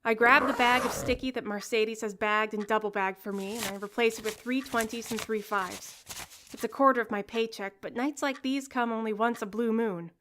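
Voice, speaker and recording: An actress playing a jaded, sardonic fast-food worker in her early twenties, dry and wry, in an audio drama. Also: on the high side, steady tone, noisy background, natural voice. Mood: bitter, wry. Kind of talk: first-person audio drama narration and dialogue.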